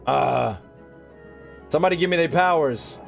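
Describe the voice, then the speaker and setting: A man's voice: a little high, moderate pace, creaky. A young man giving casual live commentary on a game as he streams, slangy and jokey. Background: faint game music.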